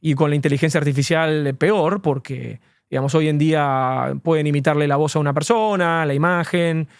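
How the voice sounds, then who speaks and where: A man's voice: on the high side, fairly even intonation, brisk, smooth, no room sound, studio quality. A man, an immigration lawyer, answering interview questions earnestly and at length, with frequent fillers.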